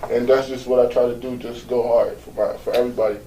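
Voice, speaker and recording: A young man who plays college basketball and was born in Jamaica, speaking casually and a little softly. The sound is roomy and distant from the microphone, with faint ambience behind him. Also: mid-range voice, flat delivery, fast, a little hiss or hum. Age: young adult. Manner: casual, many "like" fillers, soft-spoken.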